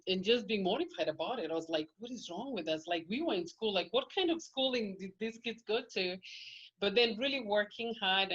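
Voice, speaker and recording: A woman's voice: mid-range voice, fairly bright tone, muffled recording. A middle-aged woman from Kenya with a Kenyan accent, whose first language is Kikuyu, talking through her life story as a guest in a casual interview, with many restarts.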